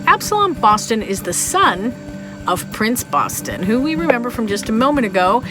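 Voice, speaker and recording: Woman vlogger chatting through some history, wry little asides, clear music underneath. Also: a little high, very animated, normal speed, rough recording. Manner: conversational, wry, with playful asides. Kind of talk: casual, chatty history narration to viewers.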